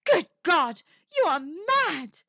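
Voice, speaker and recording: A woman's voice: extremely high pitch, very animated, unhurried, fairly bright tone, no echo, muffled recording, voice pushed up, full character voice. Woman reading as a shaken, faltering old man. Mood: aghast. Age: older. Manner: unsteady, faltering. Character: fearful, tender old man, a shaken grieving husband. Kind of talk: dramatic audiobook character reading.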